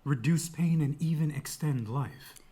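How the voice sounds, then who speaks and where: A man playing a solemn citizen in a Greek chorus, reciting earnestly and in measured phrases at a dramatic reading. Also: a little high, warm tone, husky. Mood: solemn, reverent.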